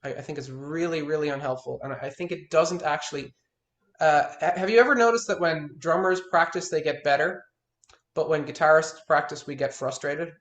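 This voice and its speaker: A man's voice: on the high side, a little fast, fairly bright tone, reverberant. A man in his thirties, a guitar teacher, talking frankly in a one-on-one consultation and restarting his words as he stresses his points. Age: 30s.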